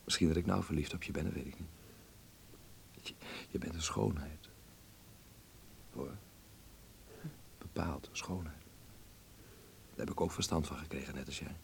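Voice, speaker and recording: A tender, teasing young man in a radio play. His voice sits very low with a fry-laden grain, and he speaks in halting, intimate phrases. A faint noisy rumble runs under the recording. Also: exaggerated voice. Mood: gently teasing. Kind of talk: Dutch radio play acting.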